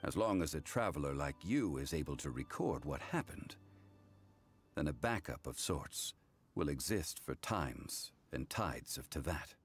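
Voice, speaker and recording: An actor playing a calm, solemn ancient man, a wise former god in a video game, who speaks in a formal, measured, reflective way. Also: very deep voice, breathy edge, full character voice. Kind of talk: English game voice acting, story dialogue.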